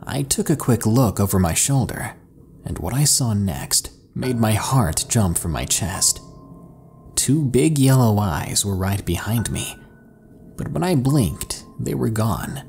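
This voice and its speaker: A low-pitched man narrates a scary story with slow, hushed delivery and dramatic pauses. His intonation rises and falls with lively swings. Faint music plays quietly underneath. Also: creaky. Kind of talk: scripted horror narration. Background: soft background music.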